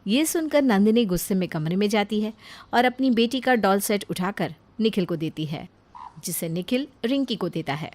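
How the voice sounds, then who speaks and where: A woman reading scripted narration for an animated family moral story. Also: mid-range voice, normal speed, no echo.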